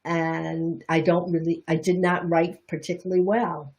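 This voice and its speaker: A middle-aged woman from Boston with a Chinese Bostonian accent, talking through a reflexology lesson on a livestream. Her voice sits a little low, and her delivery is chatty and candid, with frequent restarts and self-deprecating asides. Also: flat delivery, normal speed, a little mellow. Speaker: reflexology teacher. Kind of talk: livestream teaching talk.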